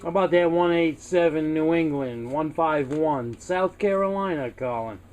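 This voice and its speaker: A man's voice: on the high side, moderate pace, very noisy. An American man chatting casually and courteously in radio jargon as a hobbyist on CB radio.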